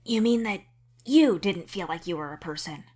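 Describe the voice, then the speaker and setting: A woman's voice: medium pitch, animated, normal speed, a little mellow, slightly rough. A woman voicing an earnest, indignant male alien troll with a blunt, emphatic delivery. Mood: earnest, probing.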